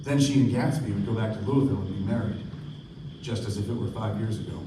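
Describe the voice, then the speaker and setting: A man's voice: on the low side, fast talker, background noise, lightly acted. A man acting a reflective young narrator who recalls wealthy friends in scripted literary prose for a live radio play. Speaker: actor narrating. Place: stage of a live performance. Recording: echoey stage from far, music behind.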